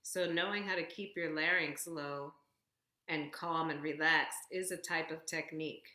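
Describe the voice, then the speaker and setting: A woman singer speaking as a guest, explaining things in a measured, conversational way. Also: low-pitched, fairly even intonation, pushed and bright, roomy sound. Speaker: guest vocalist. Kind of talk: conversational discussion of singing technique.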